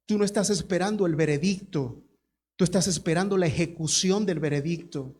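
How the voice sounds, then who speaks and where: A man's voice: high voice, a little fast, a little mellow. A male pastor preaching a sermon, telling a story dramatically and emphatically.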